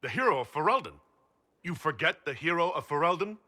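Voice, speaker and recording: A man voicing a calm, dry-witted heroic leader in a video game, with a husky voice. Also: fairly high pitch, a little fast. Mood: serious, curious. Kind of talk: video game character acting.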